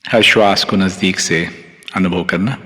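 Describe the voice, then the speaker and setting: A man with an Indian accent guiding a meditation as a teacher, calm, unhurried and soothing, leaving long pauses between phrases. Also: low-pitched. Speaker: meditation teacher, Reiki master guiding yoga nidra. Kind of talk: guided meditation, instructing listeners. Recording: long hall-like reverb on the voice.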